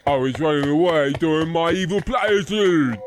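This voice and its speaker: Man playing a high-pitched gloating sweep villain. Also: moderate pace, crowd noise, natural voice. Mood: gloating, menacing. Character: gloating, sinister Cockney chimney sweep villain. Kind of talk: comedic old-time radio play acting.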